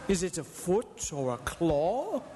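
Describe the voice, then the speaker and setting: A man playing a fearful, humbled old miser who speaks haltingly in a live radio drama. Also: fairly high pitch, very animated, warm tone. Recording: echoey theater hall, clearly noisy broadcast.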